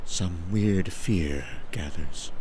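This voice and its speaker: A man playing a lonely, uneasy traveller who narrates a gothic horror tale in a brooding, halting, theatrical way. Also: deep voice, darkish tone, a little hiss or hum. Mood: uneasy musing. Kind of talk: dramatic scripted horror narration.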